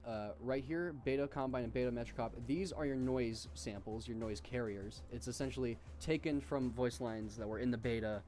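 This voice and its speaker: A man giving an informal, offhand software tutorial with slangy asides. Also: mid-range voice. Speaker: tutorial host. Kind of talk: casual software tutorial narration.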